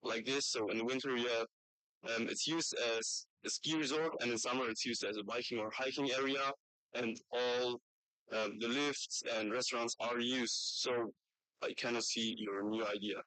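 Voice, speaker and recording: A man's voice: mid-range voice, steady tone, moderate pace, sharp tone, no echo, poor audio. A man speaking as a youth parliament delegate, putting a question in a formal debate.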